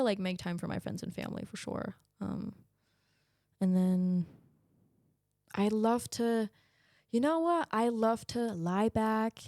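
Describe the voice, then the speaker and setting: Candid, animated young woman guest. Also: mid-range voice, no echo, studio quality. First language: Danish. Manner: candid and animated, laughing often. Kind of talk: casual interview.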